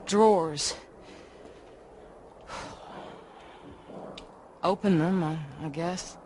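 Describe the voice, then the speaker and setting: A woman's voice: on the low side, unhurried, darkish tone, slight background noise, lightly acted. An actress playing a tough, cool street samurai woman in a radio drama, talking in a clipped, wry way. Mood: tense, clipped.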